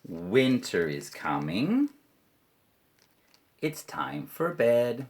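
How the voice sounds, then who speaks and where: A Canadian man teaching an online English lesson to children, playful, leading chants slowly and clearly. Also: mid-range voice, big pitch swings, darkish tone.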